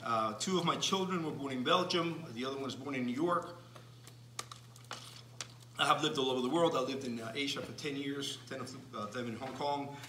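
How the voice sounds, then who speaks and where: A man's voice: mid-range voice, sharp tone, distant mic, a little hiss or hum. Cuban-born man lecturing in an echoey hall. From Cuba. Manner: measured, frequent restarts and fillers. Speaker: speaker giving a talk. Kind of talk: lecture to an audience.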